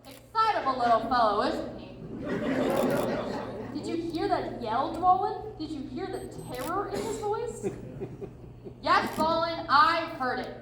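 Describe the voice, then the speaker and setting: A woman's voice: very high voice, sing-song, unhurried, pauses often, heavily acted. A woman playing a scornful, blunt dwarf adventurer with a sarcastic, emphatic manner, in a readers theatre performance. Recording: echoey room from far off, noisy.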